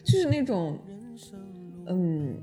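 A woman's voice: fairly low pitch, very animated, takes it slowly, music in the background. A woman co-hosting and asking questions in a casual interview chat. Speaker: podcast interviewer, co-host.

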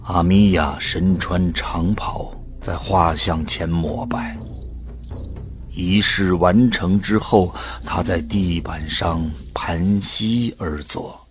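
A man narrating an audio drama in the first person, in a sombre, deliberate storytelling manner. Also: deep voice, faint music behind.

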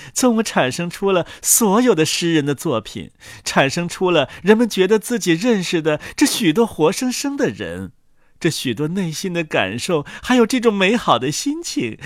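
Storybook role: man as haughty, boastful old inkwell, highish voice, wildly varied intonation, pompous. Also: no echo, clean studio sound. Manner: pompous, theatrical, self-admiring. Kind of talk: acted storybook reading.

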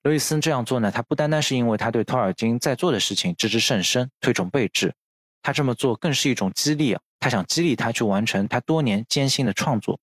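A man's voice: medium pitch, lively intonation, quick pace, no echo. A man hosting a podcast, narrating literary history from a script in a bookish, explanatory manner. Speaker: podcast host. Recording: music under the voice.

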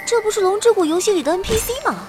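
High-pitched excitable schoolgirl. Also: very fast, music in the background. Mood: puzzled, chirpy. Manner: exclamatory, self-talking, playful. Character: excitable teenage schoolgirl transported into a fantasy game world. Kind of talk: Chinese audio drama acting.

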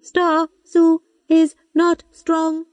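A woman voicing an ancient, lonely zoo computer that speaks in slow, broken, simple phrases. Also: very high voice, monotone, darkish tone, dry recording, muffled recording, voice pushed up, exaggerated voice. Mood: plain.

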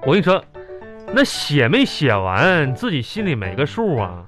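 Blustering Northeastern Chinese dad, swooping pitch. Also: male voice, huge pitch swings, normal speed, music in the background. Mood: scolding. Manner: scolding, repetitive, sputtering comic bluster. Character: exasperated, blustering father of a little girl. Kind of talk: live comedy radio drama acting.

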